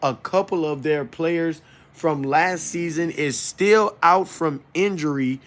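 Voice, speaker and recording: A man hosting an informal sports game preview, speaking haltingly and often correcting himself. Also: on the high side, a bit bright. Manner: halting, stumbling delivery with self-corrections.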